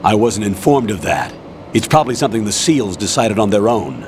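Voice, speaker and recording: A man's voice: lowish voice, expressive, a little fast, darkish tone, slight background noise, lightly acted. An actor playing a male military colonel who gives orders in a firm, commanding way over a radio call. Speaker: commanding officer. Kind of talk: radio call in a game cutscene.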